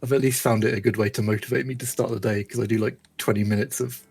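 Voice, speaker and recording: A gravelly, creaky southern English man chatting loosely and laughing at his own jokes. Faint music plays under the talk. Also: lowish voice, fairly even intonation, quick pace, darkish tone. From southern England. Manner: laughs a lot, self-mocking. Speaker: game developer. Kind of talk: casual chat on a live stream.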